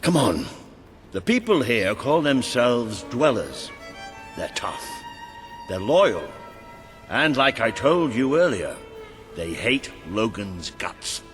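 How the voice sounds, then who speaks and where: An actor playing a gruff, seasoned British soldier mentor in a video game, speaking bluntly and with command. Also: male voice, medium pitch, very animated, slow pace, pauses often, a bit bright, big-room sound, soft background music. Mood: grim, earnest. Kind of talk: video game cutscene acting.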